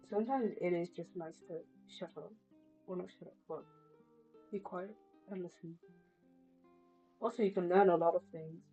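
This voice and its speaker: A woman's voice: fairly low pitch, warm tone. A woman live streamer chatting casually over a game in a musing, wry, rambling way. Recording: slightly muffled stream, music behind.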